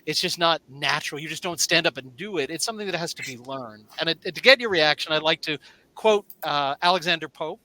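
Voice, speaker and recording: Bright-voiced male interview host. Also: on the high side, lively intonation, rough recording. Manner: measured, halting questions with fillers. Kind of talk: conversational interview.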